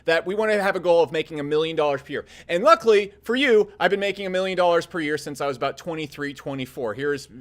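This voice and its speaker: A man's voice: a little high, lively intonation, fast, hardly any pauses, fairly bright tone. A man in his thirties giving blunt, profanity-laced business advice straight to camera. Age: 30s. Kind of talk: casual direct-to-camera advice talk.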